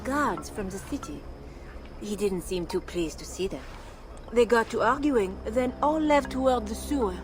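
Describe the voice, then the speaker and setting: A woman's voice: a little high, animated, unhurried. An actress playing a plainspoken, cautious townswoman in a video game, matter-of-fact in manner. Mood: guarded. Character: plainspoken, cautious local townswoman in a plague district. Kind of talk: video game character acting. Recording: music behind the voice.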